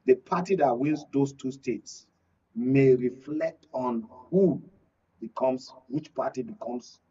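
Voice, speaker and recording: Husky-voiced Nigerian man on a discussion panel. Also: mid-range voice, takes it slowly, warm tone, recorded from a distance, dull audio. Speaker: panel guest. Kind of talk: political analysis in a panel discussion.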